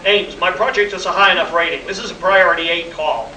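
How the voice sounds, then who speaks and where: A man's voice: high voice, quick pace, sharp tone. A man playing an air base project chief under pressure in a live sci-fi radio play. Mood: urgent, insistent. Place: live convention performance, recorded from the room. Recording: echoey distant room recording with faint noise.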